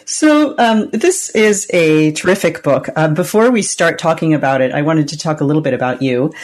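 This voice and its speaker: A woman hosting an interview program, reading a book introduction and then asking measured questions. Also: sits low, expressive, darkish tone. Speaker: interview host.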